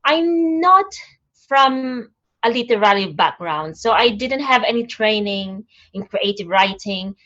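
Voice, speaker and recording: Soft-spoken woman on a video call. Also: medium pitch, lively intonation, unhurried, dull audio. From Philippines. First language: Tagalog. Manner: soft-spoken, searching, with frequent ums. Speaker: guest poet. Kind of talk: interview answers.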